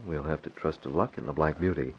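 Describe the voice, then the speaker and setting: A young, self-assured masked hero in a vintage radio serial. The voice is deep, calm and commanding. The recording has the dull tone of an old broadcast. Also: male voice, fast talker, breathy edge, exaggerated voice. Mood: calm, determined. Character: confident young newspaper publisher, secretly a masked crime-fighter. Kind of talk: old-time radio drama acting.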